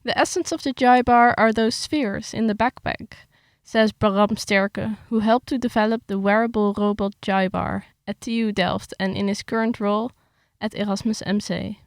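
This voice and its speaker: A young woman with a gravelly, slightly creaky voice, recorded dry and close with no room sound. She chats with some hesitation, then reads her article aloud steadily. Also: medium pitch, normal speed, hardly any pauses, no echo. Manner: hesitant with fillers when chatting, steady when reading. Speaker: writer reading her article. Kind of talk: casual chat, then reading an article aloud.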